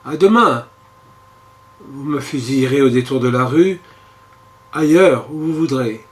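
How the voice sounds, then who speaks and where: A man voicing a captured soldier and father who speaks quietly, calmly and with resignation. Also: mid-range voice, measured pace, close to an everyday voice.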